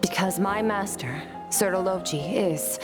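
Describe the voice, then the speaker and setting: A woman playing a reserved, solemn young warrior with quiet resolve in a video game. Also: medium pitch, measured pace, natural voice. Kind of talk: scripted game dialogue. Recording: loud music under the voice.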